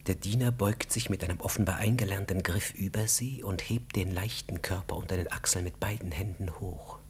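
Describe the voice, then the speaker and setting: Deep-voiced, sombre male narrator. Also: brisk, a little hiss or hum. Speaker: radio drama narrator. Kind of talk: literary scripted narration.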